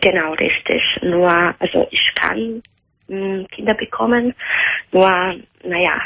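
An Italian woman in her thirties calling a radio show, speaking German hesitantly with an Italian accent and many pauses. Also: mid-range voice, vocal fry, breathy edge. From Italy. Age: 30s. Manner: hesitant, halting with many fillers. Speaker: caller. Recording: dull phone-in radio sound.

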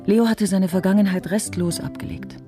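A woman's voice: mid-range voice, fairly even intonation, normal speed, music in the background, natural voice. An actress playing a self-possessed, reflective middle-aged woman in a radio drama. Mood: reflective, wry. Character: reflective, self-possessed woman looking back on her marriage. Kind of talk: radio drama dialogue and first-person narration.

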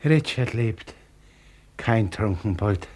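An actor playing a bewildered, tender old father, halting and full of wonder, in a 1950s radio play. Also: fairly low pitch, expressive, darkish tone. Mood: dazed wonder. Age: older.

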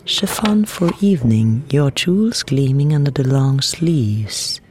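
An actress playing a dreamy, fashion-mad young society woman, breathy and mixing in English as she reads magazines in an audio drama. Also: very deep voice, expressive, unhurried, a crowd in the background. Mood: dreamy, covetous. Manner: breathy, drifting between German and English.

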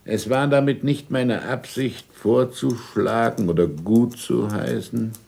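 An actor playing an older, sardonic and imperious scholar in a German radio drama, dictating deliberately with irony and curt commands. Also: male voice, medium pitch, creaky, darkish tone. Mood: dictating, ironic.

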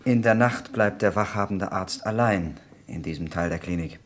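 An actor playing a polite hospital doctor in a German radio crime drama, accounting for himself in a careful, matter-of-fact and slightly hesitant way. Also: male voice, low-pitched, moderate pace. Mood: careful, explaining.

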